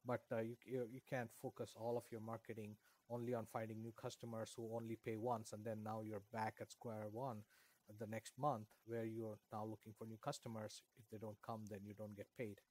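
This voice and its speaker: A man's voice: fairly low pitch, little pitch movement, a little fast, a little mellow. A middle-aged man from India whose first language is Kannada, answering interview questions at length with many fillers and restarts. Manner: rambling, with frequent fillers and restarts. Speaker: author guest.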